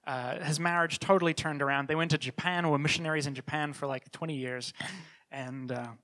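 A middle-aged man from New Zealand, his accent softened by years in Canada, giving an earnest, conversational church talk as a children's ministry leader, with frequent restarts. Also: fairly high pitch, fairly even intonation, fairly quick. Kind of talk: church talk with personal testimony.

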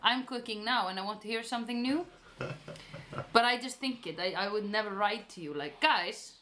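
Bright, playful English woman guest. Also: on the high side, normal speed, a little hiss or hum. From England. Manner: playful, teasing. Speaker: podcast guest. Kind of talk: casual chat.

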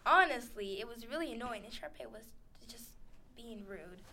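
A woman's voice: on the high side, animated, moderate pace, bright, forceful tone. Giggly rambling teen girl host. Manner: giggly, rambling, acts out characters. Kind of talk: casual chat. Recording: radio studio.

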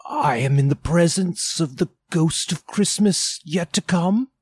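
A man's voice: on the high side, a little slow, a little mellow, slightly rough, dry recording, voice pushed up. A man voicing an old male miser who pleads with a spirit in strained, anxious questions. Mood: fearful.

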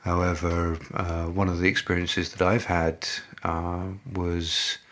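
A middle-aged man, a native English speaker, answering interview questions in a measured, halting way with many fillers and restarts. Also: deep voice, completely flat delivery, fairly bright tone. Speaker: company representative, interviewee.

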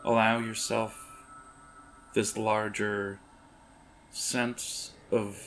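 A man improvising narration as game master of a tabletop horror game, halting as he searches for words. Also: fairly low pitch, little pitch movement, fairly bright tone, faint music behind.

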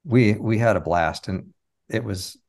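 A man speaking as an interview guest, reflective and halting as he tells stories of his work in conversation. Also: fairly low pitch, darkish tone. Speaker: interview guest, comic magician and stage show creator.

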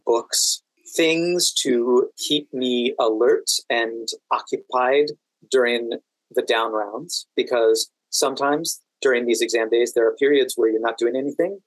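A man answering interview questions in a measured, careful way, pausing mid-sentence as he explains. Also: mid-range voice, no room sound, rough recording. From United States, Washington DC area. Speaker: podcast guest, standardized patient and exam event administrator.

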